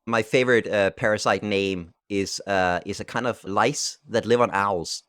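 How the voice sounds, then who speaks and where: Man, podcast co-host, lowish voice, hesitant, filler-heavy, dry recording. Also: steady tone, normal speed, a bit bright, no room sound. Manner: hesitant, many uh fillers, storytelling. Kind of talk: casual podcast chat.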